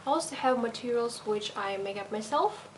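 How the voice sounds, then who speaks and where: A young woman with a slight European accent, speaking non-native English in a fairly high voice. She lays out her teaching methods in a methodical, explanatory way, pausing on little fillers. A steady hiss runs under the recording. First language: not English. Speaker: language teacher. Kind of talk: teacher self-introduction video.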